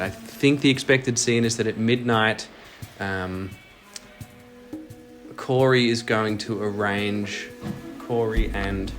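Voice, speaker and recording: A man's voice: lowish voice, fairly bright tone. A man hosting and narrating a solo role-playing audio drama, moving between scripted storytelling and casual commentary.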